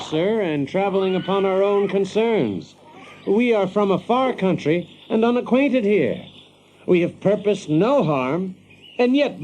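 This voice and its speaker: A man's voice: high-pitched, darkish tone, a little hiss or hum, poor audio. An actor playing a cocky, wry American adventurer, telling his story as dramatized first-person narration. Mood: polite, earnest.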